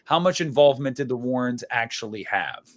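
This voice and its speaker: A man's voice: mid-range voice, expressive, fairly quick. Emphatic American man with a mid-range voice. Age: young adult. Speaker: podcast co-host. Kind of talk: opinionated podcast discussion.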